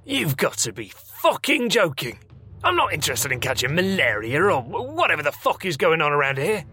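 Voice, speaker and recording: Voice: mid-range voice, very animated, normal speed, sharp tone, soft background music. A performer playing an irate, foul-mouthed, indignant hotel guest who blusters in disbelief in an audio drama. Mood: annoyed. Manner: blustering, profane, incredulous.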